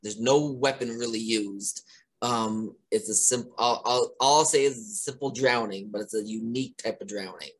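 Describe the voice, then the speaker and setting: Chatty man interviewing, loud voice. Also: fairly low pitch, steady tone, moderate pace, slightly rough, rough recording. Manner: chatty, laughs often, many fillers. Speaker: interviewer, indie horror filmmaker. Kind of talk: casual conversational interview.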